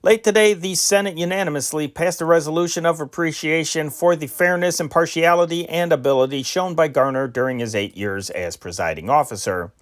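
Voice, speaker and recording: A man reading old newspaper dispatches aloud in an unpolished, measured way, with occasional slips. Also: on the high side. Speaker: news reader.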